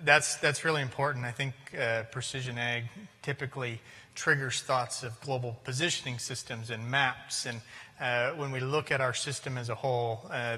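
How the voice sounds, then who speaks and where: A man's voice: mid-range voice, bright, forceful tone, in a large hall. A young man moderating a panel with brief, polite handoffs between speakers. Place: conference stage in a large hall. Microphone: lapel.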